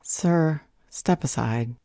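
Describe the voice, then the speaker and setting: A woman's voice: sits low, very slow, mellow, no echo, studio quality, exaggerated voice. A woman playing a jaded, condescending government customer service clerk in an audio roleplay, bureaucratic and unhelpful. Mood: flat, firm. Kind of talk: audio roleplay drama acting.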